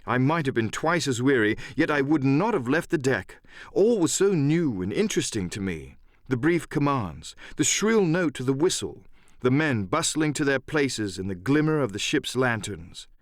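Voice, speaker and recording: A man's voice: mid-range voice, very animated, normal speed, no echo. A man narrating an adventure audiobook as a scripted dramatic reading with a theatrical storyteller's delivery.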